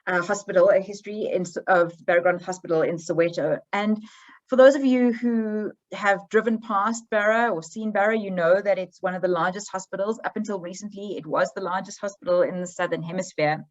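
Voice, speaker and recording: A middle-aged South African woman speaks over a slightly dull, lo-fi video call. She talks at a middle pitch in a loose, conversational way, pausing on ums and restarting her sentences as she goes. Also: fairly even intonation. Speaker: history professor. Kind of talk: online academic presentation. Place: holiday home.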